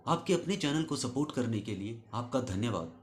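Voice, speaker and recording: A man's voice: medium pitch, expressive, brisk. A man telling a scripted moral story as a host, addressing listeners in an earnest, instructive way.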